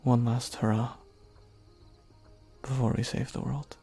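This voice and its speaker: A man voicing a devoted young knight who earnestly confesses his love, speaking softly and haltingly. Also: mid-range voice, level tone, slightly rough, faint music behind. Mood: reassuring. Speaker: voice actor. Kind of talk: audio roleplay acting.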